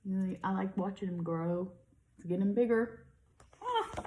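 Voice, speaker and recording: A woman's voice: mid-range voice, a little slow, warm tone, a little hiss or hum. A woman reads a storybook aloud like a teacher to young children, expressively, with casual asides. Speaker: kindergarten teacher reading to pupils.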